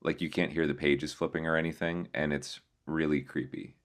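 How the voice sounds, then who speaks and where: A man giving casual reaction commentary as a host, musing aloud in a halting way. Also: extremely low pitch, little pitch movement. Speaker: host reacting to horror videos.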